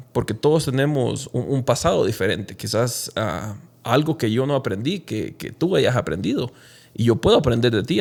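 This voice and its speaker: A man from Honduras, speaking reflectively and haltingly as an interview guest telling his own story. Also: medium pitch, gravelly, a little mellow. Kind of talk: conversational personal storytelling in an interview.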